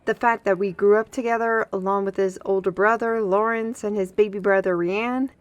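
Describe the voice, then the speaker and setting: A woman playing a young, guarded, gently teasing fantasy woman in a roleplay audio drama, talking directly to the listener in a conversational way. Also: medium pitch, fairly even intonation, normal speed, no room sound, muffled recording, lightly acted. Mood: fond, explaining. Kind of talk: scripted roleplay audio acting, ASMR.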